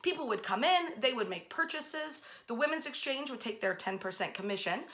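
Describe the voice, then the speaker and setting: A woman's voice: a little high, bright, forceful tone. An American woman telling local history as an informal talk, with an emphatic, enthusiastic delivery. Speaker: history storyteller and host.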